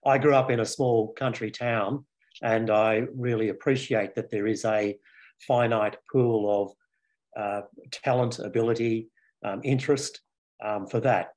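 An older man speaking on a video call from a home study, measured and halting with frequent fillers, as a panelist. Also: on the low side, a little mellow. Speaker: panelist, board chair. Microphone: video call webcam.

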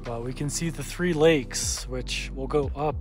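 A young man gives a casual, plainspoken vlog update, talking into a camera held at arm's length. His delivery is low-key and music plays clearly behind him. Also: unhurried. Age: young adult. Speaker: hiking vlogger. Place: outdoors in mountains and inside tents. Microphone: handheld camera at arm's length.

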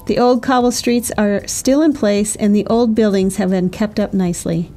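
A woman's voice: mid-range voice, steady tone, moderate pace, mellow, faint music behind. A middle-aged woman giving cheerful, chatty scripted voice-over narration for a sailing travel vlog.

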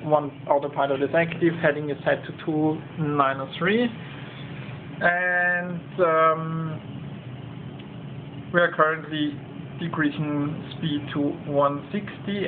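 A man with a somewhat high voice narrates an informal demonstration. He speaks hesitantly, often pausing in the middle of a sentence. The sound is narrow and tinny like a phone, with some faint noise behind him. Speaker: demonstrator of a home-built flight simulator. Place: indoor home cockpit simulator room.

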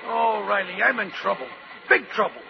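A man's voice: high-pitched, normal speed, creaky. A man playing a whining, hapless, panicky American factory worker in a 1940s radio comedy. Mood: woeful. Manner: whining, agitated. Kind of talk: 1940s radio sitcom acting. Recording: dull, noisy 1940s radio recording.

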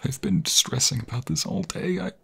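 A man playing an anxious, tender young vampire fiancé in an intimate ASMR roleplay, halting and emotional, often dropping into a breathy whisper. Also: a little high, sharp tone, heavily acted. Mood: anxious, distressed.

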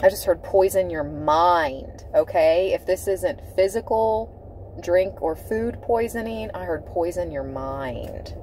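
Slow, mellow-voiced woman reading tarot cards. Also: on the low side, expressive, slight background noise. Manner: slow, dramatic, emphatic delivery with repeated phrases. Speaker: tarot reader. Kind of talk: tarot card reading to camera. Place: inside a parked car.

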